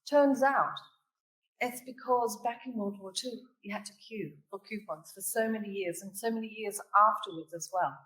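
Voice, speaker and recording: A woman with a hybrid Australian-British accent gives a conference presentation in a middle-pitched voice. She talks in a storytelling way and keeps asking the room "right?" to check they follow. She is a little distant from the mic, and the hall sounds echoey. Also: normal speed, a bit bright. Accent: Australian mixed with British. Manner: storytelling, tags points with "right?" and "okay?". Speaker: conference speaker. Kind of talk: keynote presentation.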